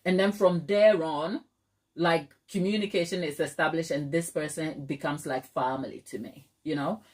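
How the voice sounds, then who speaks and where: Middle-aged woman from ghana hosting a live chat, slightly low voice, lots of ums and laughs. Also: steady tone, normal speed, darkish tone. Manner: chatty, laughs often, frequent fillers. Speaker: live stream host. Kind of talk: casual chat reading viewer comments.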